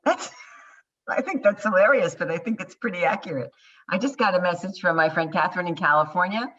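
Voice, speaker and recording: A woman's voice: fairly low pitch, brisk, a bit bright, slightly rough. Enthusiastic woman on dull video-call audio. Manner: enthusiastic, reads out audience messages. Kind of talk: casual panel chat.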